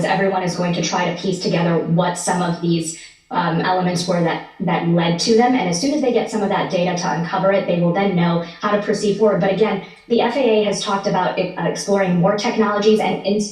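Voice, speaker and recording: A heavily echoing, slightly distant recording of a woman reporter with a lowish voice. She explains things conversationally, as a guest on a live news interview. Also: darkish tone. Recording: very echoey, distant from the mic.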